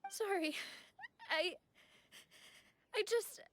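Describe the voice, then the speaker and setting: A woman's voice: very high-pitched, very slow, noisy background, exaggerated voice. An actress playing a gracious, dutiful young woman leader with an earnest, formal manner in an anime game. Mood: tearful grief. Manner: polite, formal, earnest phrasing.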